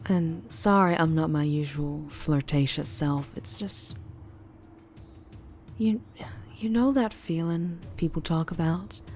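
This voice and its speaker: A low-pitched Southern vampire woman, acted by a female performer speaks in a soft, intimate ASMR roleplay. She is flirtatious yet guarded and troubled, with a protective streak and a halting delivery. Faint music plays behind her. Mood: uneasy, melancholy.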